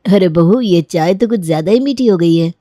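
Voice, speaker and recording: Woman doing a mellow, genial middle-aged man. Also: on the low side, moderate pace, no echo, clean studio sound, close to an everyday voice. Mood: remarking. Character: genial middle-aged male house guest. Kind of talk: cartoon moral-story voice acting.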